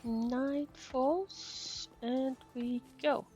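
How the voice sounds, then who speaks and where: Female streamer: fairly high voice, unhurried, casual reactive game commentary on a slightly muffled mic. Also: steady tone, a little mellow. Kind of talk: casual game playthrough commentary, reading dialogue aloud. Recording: dull band-limited mic, game music faintly behind. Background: faint game music.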